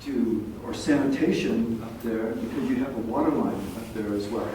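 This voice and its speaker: An older man who is a longtime local resident, speaking softly and haltingly at a community meeting. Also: medium pitch, crowd noise. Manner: soft-spoken, rambling with pauses. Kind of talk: public comment at a community meeting. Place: large echoey meeting room. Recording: echoey room, distant mic, faint hiss.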